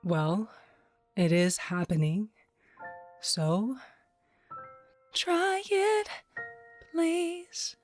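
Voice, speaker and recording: A woman's voice: medium pitch, wildly swooping pitch, very slow, long pauses, faint music behind, exaggerated voice. A woman acting in an audio drama as an encouraging, pleading wife. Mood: gently coaxing. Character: Naya, wife and former writer, coaxing her stubborn husband.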